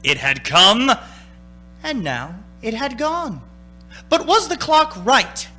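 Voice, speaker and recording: An actor plays a male storyteller narrating a radio thriller with theatrical suspense. His high voice swoops expressively at a deliberate, slow pace. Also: huge pitch swings, bright, forceful tone, faint music behind, exaggerated voice. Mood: suspenseful. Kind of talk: dramatic scripted narration.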